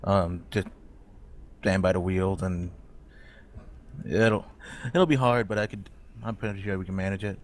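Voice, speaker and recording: A man's voice: deep voice, steady tone, unhurried, long pauses. A man talking casually as a player in a role-play game, hesitant and thinking aloud.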